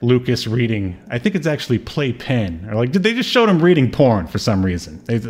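A man's voice: medium pitch, big pitch swings, brisk, darkish tone. Man chatting casually, hesitant delivery. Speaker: podcast co-host. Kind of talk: casual chat, reviewing a TV show.

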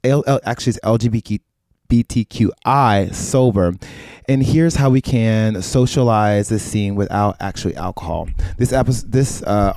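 A man hosting a casual podcast conversation, chatty and animated, with playful asides. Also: on the low side, no room sound. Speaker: podcast host.

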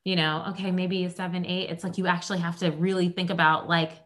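A woman's voice: on the low side, level tone, quick pace, fairly bright tone. A woman speaking as a guest at a live fireside chat, chatty and upbeat with many fillers like um and kind of.

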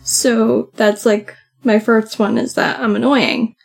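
A woman with a soft, slightly high voice chats easily as a podcast co-host. Her talk is loose and filled with "like", and faint music plays behind her. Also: fairly even intonation, slow, vocal fry. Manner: chatty, many "like" fillers. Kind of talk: casual conversation.

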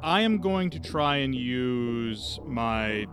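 A man's voice: medium pitch, animated, takes it slowly, music in the background. A man casually describing his character's actions as a player in a tabletop role-playing game.

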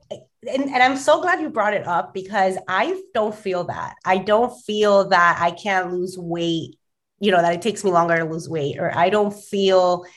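A woman in her thirties co-hosting a casual podcast, her voice raspy and hoarse from allergies, chatty and full of "like". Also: lowish voice. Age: 30s. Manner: chatty, lots of "like", laughs often. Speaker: podcast co-host.

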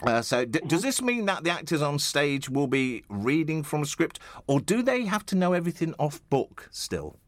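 A man's voice: on the high side, big pitch swings, moderate pace, hardly any pauses, no echo. A man hosting a conversational radio interview in a chatty, rambling, enthusiastic way.

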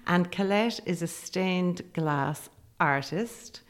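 A woman hosting a podcast, interviewing a guest in a relaxed conversational style. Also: fairly low pitch, takes it slowly. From Ireland.

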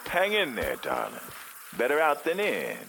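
A man's voice: fairly high pitch, huge pitch swings, normal speed, vocal fry, background noise, heavily acted. An actor playing an affectionate, encouraging father in an audio drama, reassuring and teasing in a folksy way. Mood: fond, reassuring. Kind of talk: naturalistic audio drama dialogue.

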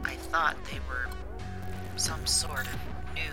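A woman acting in an audio drama monologue as a weary, urgent woman, speaking in a halting, repetitive, intense way. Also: very deep voice, steady tone. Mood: urgent, earnest. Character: weary, urgent woman speaking across time to other women. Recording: ambient music bed under the voice. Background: music.

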